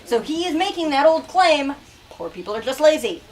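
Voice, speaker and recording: A woman leading a lively book talk in a bookstore, asking questions and switching into dramatic readings. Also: high-pitched, moderate pace. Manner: animated, asks questions, shifts into dramatic reading. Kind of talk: dramatic reading and discussion with children. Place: bookstore event space. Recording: distant mic, noisy room.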